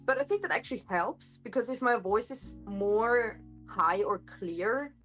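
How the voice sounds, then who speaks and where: A woman streamer chatting casually in a home kitchen, her voice a little gruff today, rambling with lots of fillers and self-corrections, picked up by a phone microphone. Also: a little high, animated, unhurried, fairly bright tone, faint music behind, rough recording. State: slightly gruff voice. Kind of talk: casual live-stream chat. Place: home kitchen with hard countertops.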